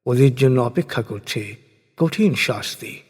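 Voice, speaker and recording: Male narrator for solemn scripture reading: husky tone, deliberate phrasing, long reverberant tail on the voice. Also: mid-range voice, animated. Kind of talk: solemn scripted religious recitation of translated scripture and commentary.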